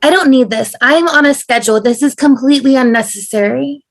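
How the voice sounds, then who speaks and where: An indignant, self-important woman passenger, acted out by a woman in an online drama read. The voice is high and loud, with an impatient, demanding delivery. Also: a little fast. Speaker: table read performer. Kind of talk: amateur drama table read. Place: online video call table read.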